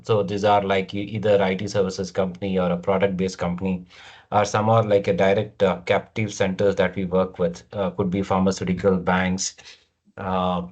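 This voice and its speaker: A fairly low-voiced man with an Indian English accent hosts an online webinar over a video call. He talks in a loose, halting way and fills gaps with "uh" and "you know". The audio is dull and lo-fi. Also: a little mellow. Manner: hesitant, many fillers. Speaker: webinar host. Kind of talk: casual webinar hosting. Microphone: computer mic on video call.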